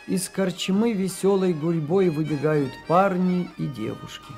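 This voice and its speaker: Guy narrating an old radio play, slightly high voice, soft fiddle music behind. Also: a little slow, creaky.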